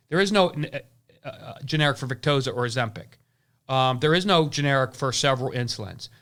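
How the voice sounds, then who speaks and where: A man's voice: a little high, fairly bright tone. A man, who owns an insurance agency, gives casual advice and rambles, repeating words and restarting his sentences. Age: 40s.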